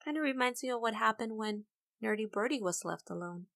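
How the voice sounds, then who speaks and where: A woman's voice: a little high, fairly quick, gravelly, a bit bright. A woman teacher leading an interactive read-aloud for children, explaining in an encouraging way and addressing listeners directly.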